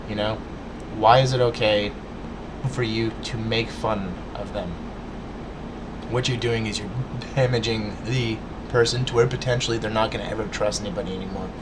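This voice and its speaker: Guy ranting at his webcam, kinda low voice, stutters n restarts a lot, humming noise in the back. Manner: stutters, restarts, many fillers; earnest, lecturing, addresses viewers directly. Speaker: vlogger. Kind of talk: casual rant to camera.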